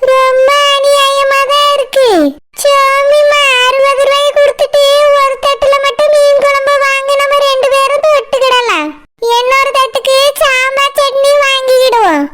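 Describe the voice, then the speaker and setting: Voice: very high voice, level tone, moderate pace, sharp tone, faint music behind. A performer voicing a feisty, food-loving cartoon village woman who haggles and complains in a Kanyakumari Tamil accent. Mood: complaining. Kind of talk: comic cartoon dialogue.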